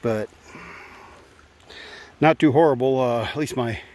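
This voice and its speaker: A man's voice: mid-range voice, measured pace, darkish tone. A man vlogging casually while hiking a forest trail, chatting into his phone and sometimes out of breath. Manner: chatty, narrating while walking. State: out of breath at times. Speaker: hiker vlogger. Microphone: handheld phone. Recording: faint wind and stream noise.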